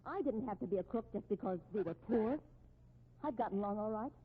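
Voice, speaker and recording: An actress playing an anxious, reproachful, respectable sister of a fugitive in a 1950s radio drama, agitated and scolding, on a muffled old recording. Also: female voice, medium pitch, animated, fast, husky. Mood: scornful.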